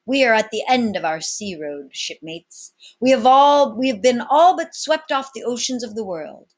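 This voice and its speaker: A woman's voice: a little high, expressive, moderate pace, pitched above the performer's own voice. A woman reading aloud as a grandiloquent male pirate captain, commanding his crew in florid, oratorical speech. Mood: solemn, declamatory.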